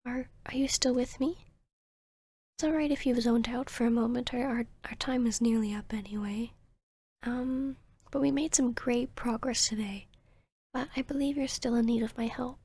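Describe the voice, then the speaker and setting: A woman playing a polite, soft-spoken female therapist in an ASMR roleplay, slightly flustered and hesitant, with a soothing, courteous manner. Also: on the high side, fairly even intonation, normal speed, slightly rough. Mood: polite, encouraging.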